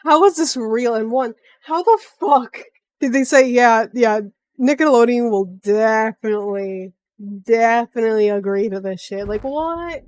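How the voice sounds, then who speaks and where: A woman's voice: fairly high pitch, unhurried, a little mellow, no echo. A woman streamer reacting to a video in casual chat, blunt and informal with slangy swearing.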